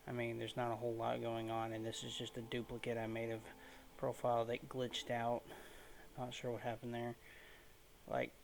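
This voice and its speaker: A man's voice: monotone, moderate pace, creaky. Male gamer, casual and subdued, narrow intonation, light background noise. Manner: low-key, keeping his volume down. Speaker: gaming video host. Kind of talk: casual let's play commentary. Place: room at home.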